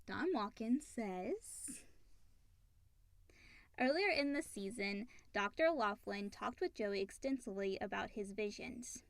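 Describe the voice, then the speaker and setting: A woman's voice: medium pitch, slow, gravelly, dry recording. A woman co-hosting a casual podcast, chatting and reading out questions from listeners.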